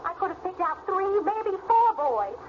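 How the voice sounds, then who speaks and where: High-pitched sulky teenage girl. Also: expressive, normal speed. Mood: sulky, petulant. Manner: whiny, petulant. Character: sulky, anxious sixteen-year-old daughter on a family trip. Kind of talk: radio drama acting. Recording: dull, noisy radio recording.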